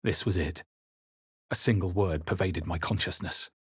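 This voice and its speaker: A man playing a grave, introspective adventurer hero in a fantasy video game, speaking in a measured, dramatic way. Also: low-pitched, breathy edge, no room sound. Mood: grave, brooding. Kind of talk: scripted video game narration and character lines.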